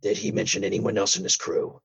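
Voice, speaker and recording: A man voicing a gruff, husky whale interrogator with a stern, deliberate manner in an improvised audio drama. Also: completely flat delivery, heavily acted. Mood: interrogating. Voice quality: husky, low. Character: gruff whale interrogator hunting crab drug smugglers.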